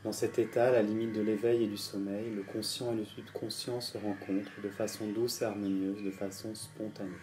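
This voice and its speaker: A man with a fairly low voice leads a guided relaxation. He speaks calmly and gently, placing each instruction deliberately and leaving pauses. Faint night insects and natural sounds sit behind his voice. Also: a little hiss or hum. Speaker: yoga nidra guide. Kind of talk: guided meditation. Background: crickets and insects at night.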